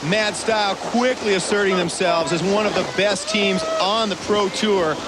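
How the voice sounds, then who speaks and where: A man with a high-pitched voice works as an expert analyst on a televised sports event. He explains the technique clearly over a loud, steady wash of hiss and rushing noise. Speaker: expert colour commentator. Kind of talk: sports broadcast commentary.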